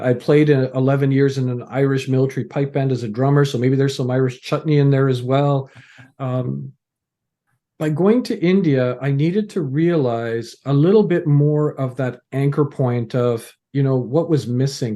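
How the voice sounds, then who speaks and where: Mellow-voiced man telling stories. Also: medium pitch. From Canada. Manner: storytelling, uses analogies, frequent restarts. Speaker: podcast guest, author. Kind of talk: casual interview conversation.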